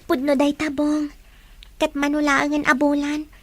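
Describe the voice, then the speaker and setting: A woman's voice: sits high, monotone, unhurried, a bit bright, dry recording. A woman acting a grateful, dutiful teenage eldest daughter in an Ilocano radio drama, with a tender, girlish delivery. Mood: tender, wistful. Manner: tender, earnest. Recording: music bed under the dialogue.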